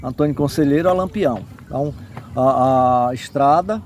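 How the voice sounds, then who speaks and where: A man's voice: medium pitch, moderate pace, a little mellow, slight background noise. A man with a Northeastern Brazilian accent explaining local history off the cuff, in a didactic way with frequent check-in tags. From Brazilian Northeast, backlands of Sergipe.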